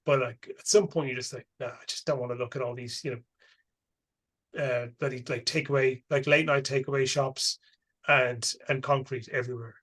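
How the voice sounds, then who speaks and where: A man hosting a podcast, relaxed and casual, swearing freely in easy conversation. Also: mid-range voice, moderate pace. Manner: relaxed, swears casually, uses colloquial phrasing. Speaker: podcast host. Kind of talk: casual interview chat.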